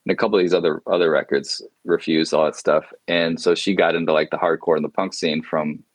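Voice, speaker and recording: A man's voice: rumbling low, fairly even intonation, fairly quick, no room sound. A man in his forties who grew up abroad, telling long stories as an interview guest, rambling with many fillers and restarts. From grew up in Indonesia. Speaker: guest musician. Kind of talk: casual interview storytelling.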